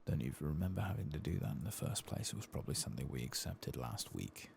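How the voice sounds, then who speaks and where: A man giving soft-spoken ASMR commentary over a video game, often slipping into whispers. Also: very deep voice, big pitch swings, quick pace, vocal fry, slight background noise. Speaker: host of an ASMR game playthrough.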